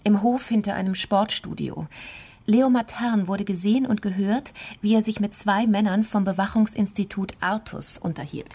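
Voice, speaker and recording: An actress playing a determined woman with a slightly husky voice, giving evidence formally and precisely in a German crime radio play. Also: medium pitch, normal speed, mellow. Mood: composed, precise.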